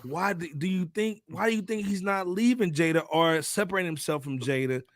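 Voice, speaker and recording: A man hosting a podcast, tossing questions to the panel in slangy, excitable talk. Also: sits high, a little fast, dry recording, clean studio sound. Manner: animated, restarts to grab the floor. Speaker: podcast host posing questions. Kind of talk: casual slangy chat.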